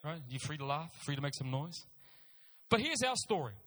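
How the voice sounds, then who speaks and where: A man's voice: fairly high pitch, big pitch swings, moderate pace, sharp tone. A middle-aged man with a New Zealand accent preaching a sermon, telling personal stories with emphatic repeated phrases and informal asides. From Auckland, New Zealand. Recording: reverberant hall.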